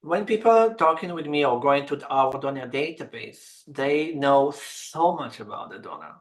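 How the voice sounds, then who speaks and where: A woman running an egg donation agency, speaking in halting, non-native English on a webinar. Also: sits low, lively intonation. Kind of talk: webinar talk.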